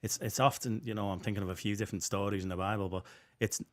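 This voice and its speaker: A man's voice: fairly low pitch, steady tone, quick pace. A man co-hosting a casual chat, conversational and playful, with frequent tag questions like "don't you?" and "right?". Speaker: podcast co-host.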